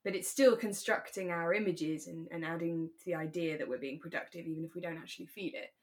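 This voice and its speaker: Female co-host for a casual review podcast; lowish voice, conversational, inclined to explain. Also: fairly even intonation, fast. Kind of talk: casual chat reviewing a radio drama.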